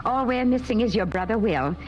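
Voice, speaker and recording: A homely old grandmother played by a woman with a fairly high, lilting, kindly voice. The sound is a dull vintage radio drama recording. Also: expressive, brisk, a little mellow, lightly acted. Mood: cheerful, fussing.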